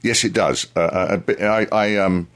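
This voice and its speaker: A man answering interview questions as a tour guide, speaking in a deliberate, halting, conversational way. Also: sits low, a bit bright. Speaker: tour guide, radio guest.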